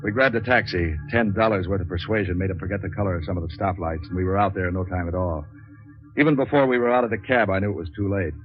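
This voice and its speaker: Man playing low-voiced cynical hardboiled troubleshooter. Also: quick pace, soft background music, natural voice. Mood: grim, deadpan. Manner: deadpan, terse, sardonic. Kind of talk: 1940s radio crime drama acting and narration. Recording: dull lo-fi 1940s radio recording.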